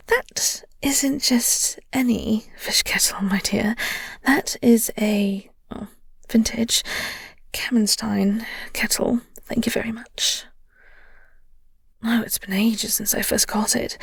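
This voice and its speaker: A woman playing a flirty, tender English coworker in an intimate audio roleplay, speaking softly and breathily with a gentle, halting, genteel manner. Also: fairly high pitch, no echo, clean studio sound, exaggerated voice. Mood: playful, proud. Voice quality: soft, breathy. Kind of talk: intimate audio roleplay, soft comforting ASMR acting.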